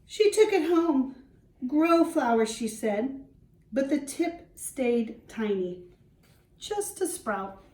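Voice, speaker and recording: A woman schoolteacher reading a children's storybook aloud, explaining simply and pausing to put questions to young listeners. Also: sits high, lively intonation, darkish tone. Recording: roomy echo, distant microphone.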